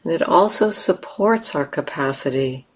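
A woman teaching a guided meditation in a gentle, unhurried way with long pauses. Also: low voice, animated, breathy edge, poor audio.

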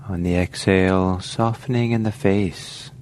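A man with a low voice guides a meditation. He speaks very slowly and gently, leaving long pauses between short instructions. There is faint noise behind him. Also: fairly even intonation. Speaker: meditation teacher.

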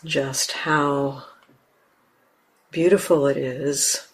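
A woman teaching guided meditation, speaking gently and unhurriedly in short phrases with long pauses. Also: rumbling low, steady tone, breathy edge, poor audio. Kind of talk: guided meditation, unscripted instruction.

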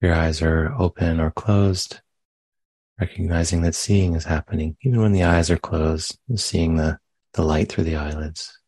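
Guy doing guided meditation, really deep gravelly voice, gentle, takes his time with long gaps. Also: steady tone, dry recording. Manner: gentle, unhurried, with long pauses. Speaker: meditation teacher.

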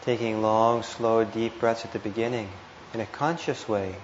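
A man teaching meditation, giving guided instructions with gentle, hesitant phrasing and pauses. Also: on the low side, steady tone. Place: indoor meditation hall. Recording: muffled, roomy live talk with noise.

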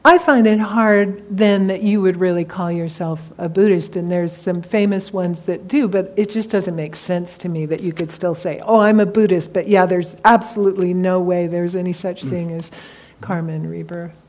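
A woman's voice: fairly low pitch, fairly even intonation, normal speed, few pauses, darkish tone. A woman teacher of Tibetan Buddhism answering on a discussion panel, talking casually and telling stories with animation.

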